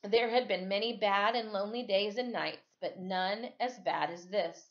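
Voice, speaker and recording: A woman reading a story aloud in an expressive, dramatic storytelling way, like a teacher. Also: medium pitch, normal speed. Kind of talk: read-aloud storytelling with casual asides. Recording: dull, lo-fi sound.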